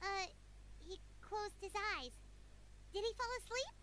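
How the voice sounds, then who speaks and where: A woman voicing a tiny, excitable floating companion in a game, with a very high, childlike voice and a bubbly, exclamatory way of talking. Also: a little slow, slight background noise, heavily acted. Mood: wistful. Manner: bubbly, exclamatory, refers to herself by name. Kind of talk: game cutscene voice acting. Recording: game audio with music underneath.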